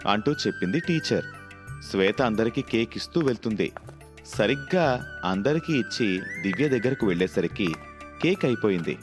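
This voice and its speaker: A man's voice: lowish voice, expressive, moderate pace, pauses often. A man narrating a scripted children's story as the storyteller. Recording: music behind the voice.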